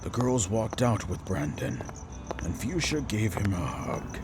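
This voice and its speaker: A narrator reading scripted story scenes in an expressive storytelling manner. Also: low voice, a little slow, noisy background. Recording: music under the voice.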